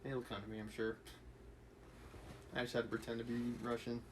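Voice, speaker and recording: A man's voice: medium pitch, flat delivery, brisk, noisy background. A man reading a classic novel aloud on a livestream, with casual asides to his chat. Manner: casual, reads with light character voices and laughs between asides. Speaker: livestream reader.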